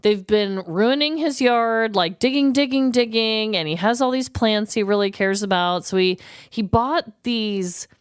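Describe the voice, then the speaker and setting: A woman co-hosting a comedy podcast, talking in a chatty, slangy, animated way close to the mic. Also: on the high side, a little slow, clean tone, no echo. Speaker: podcast co-host. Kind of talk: casual comedic chat.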